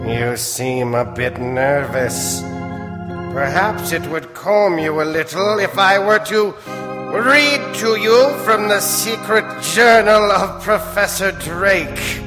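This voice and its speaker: A man plays an eerie, courteous host of strange tales in a 1940s radio drama style. He is theatrical and coaxing, speaking extremely slowly in a slightly high voice. The hall rings around him and music plays underneath. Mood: sinister welcome. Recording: echoey stage hall, music behind.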